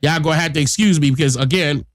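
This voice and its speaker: A man with a fairly high voice and a stuffy, congested nose talks bluntly and emphatically to his audience as a podcast host. His speech is close and dry, with no room sound around it. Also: quick pace, no echo. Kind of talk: casual political commentary.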